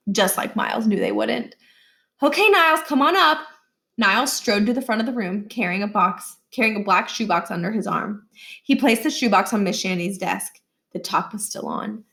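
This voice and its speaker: A woman narrating a children's book aloud, expressively, with deliberate phrasing in short, emphatic groups. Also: medium pitch, gravelly, a bit bright. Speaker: read-aloud reader.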